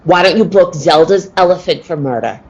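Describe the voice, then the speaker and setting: A woman playing a sharp-tongued circus tiger trainer in a radio play, curt and challenging. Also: lowish voice, a little fast, dull audio, lightly acted. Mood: defiant. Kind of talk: old-time radio play acting.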